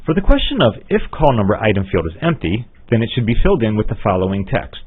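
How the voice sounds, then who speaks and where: A man giving methodical, instructional narration for a scripted step-by-step software tutorial. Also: lowish voice, big pitch swings, fast, slightly rough. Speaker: software trainer. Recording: muffled, phone-like narrow-band audio.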